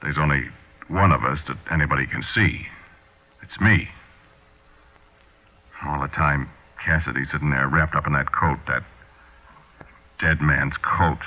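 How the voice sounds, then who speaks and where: An actor playing a hard-boiled American small-time crook, narrating colloquially and confidingly in a 1940s radio drama. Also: male voice, very deep voice, a little slow, long pauses. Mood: brooding, uneasy. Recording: dull 1940s radio broadcast recording.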